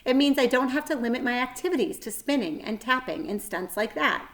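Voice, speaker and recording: A woman's voice: fairly high pitch, lively intonation, a little fast, fairly bright tone, voice pushed up. A woman voicing a wise, self-assured female spider in a children's storybook, precise and matter-of-fact. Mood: brisk, assured. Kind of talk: children's storybook read-aloud.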